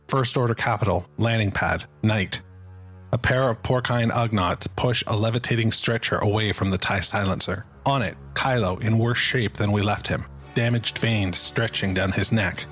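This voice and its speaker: Low-pitched man narrating a dramatic script. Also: normal speed, a bit bright, husky, soft background music.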